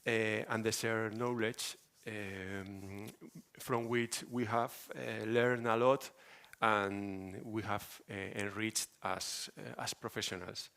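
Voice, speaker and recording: A man with a strong Spanish accent presenting a project at a conference as an architect, halting and hesitant with frequent pauses. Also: on the low side, little pitch movement, a bit bright, clean tone. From Spain.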